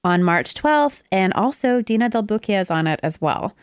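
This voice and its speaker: A woman's voice: mid-range voice, animated, normal speed, clear, resonant tone, dry recording. Casting: woman, forties, mid-range voice, podcast host with a casual wry style, dry room. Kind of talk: casual chat.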